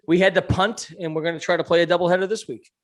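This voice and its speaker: A man co-hosting a podcast in casual, joking chat, tired and a little hoarse. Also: high voice, fairly even intonation, fast talker. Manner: joking, rambling explanations. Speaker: podcast co-host.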